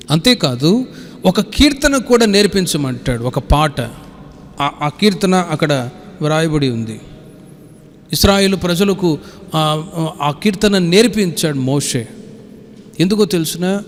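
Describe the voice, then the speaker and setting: A man preaching as a pastor, reading scripture and explaining it in a didactic, repetitive way. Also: high voice. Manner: didactic, repeating phrases and citing verses. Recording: hall with long reverb.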